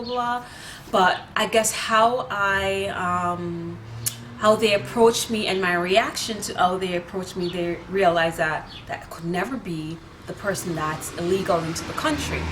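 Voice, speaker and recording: A woman's voice: medium pitch, slight background noise, low-quality recording. Chatty Jamaican woman telling a story. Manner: chatty, animated, many fillers and restarts. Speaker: vlogger. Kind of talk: casual storytime monologue. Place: outdoors near neighbors.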